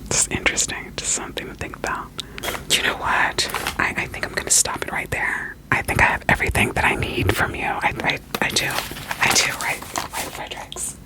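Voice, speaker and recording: A person whispering an ASMR roleplay as a doting, quirky therapist, soothing and reassuring with gentle questions. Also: a little fast, poor audio. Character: doting, quirky therapist evaluating a vampire client.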